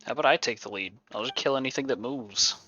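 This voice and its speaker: A man's voice: medium pitch, fast talker, lo-fi sound. A man streaming a video game with casual, wisecracking commentary full of playful bravado. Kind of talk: casual live gameplay commentary. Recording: soft game music behind.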